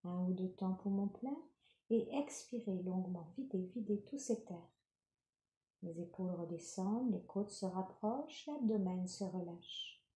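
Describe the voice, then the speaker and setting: A woman leading a guided yoga nidra relaxation, giving calm, gentle, unhurried instructions. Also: mid-range voice. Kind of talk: guided meditation, soothing instruction. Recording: roomy, reverberant sound.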